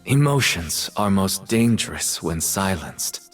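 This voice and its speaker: A man playing a brooding, haunted artist mage in a fantasy video game, with a breathy, husky voice and solemn, poetic delivery. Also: low-pitched, animated, slow pace, distant mic. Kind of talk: video game character acting. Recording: game audio clips over music.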